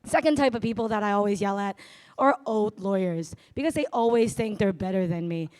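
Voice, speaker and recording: A woman with a raspy voice, loud and brash with self-mocking humor, speaking on stage into a handheld mic as a notary at a business event. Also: mid-range voice, a little fast. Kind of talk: live stage sponsor talk.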